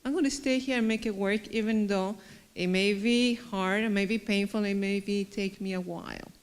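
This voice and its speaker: Smooth-voiced woman with Chilean accent. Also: mid-range voice, steady tone, normal speed. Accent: Chilean Spanish. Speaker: panelist. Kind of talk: panel self-introduction.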